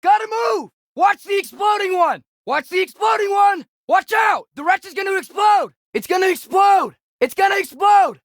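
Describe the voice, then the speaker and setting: A man's voice: extremely high pitch, expressive, moderate pace, hardly any pauses, fairly bright tone, no room sound, shouting, heavily acted. Teen boy warrior in a game, gritty edge, dry sound, clipped urgent battle warnings. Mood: urgent, alarmed. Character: alert, urgent teenage boy warrior fighting beside his father. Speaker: video game voice actor. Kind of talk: shouted combat callouts.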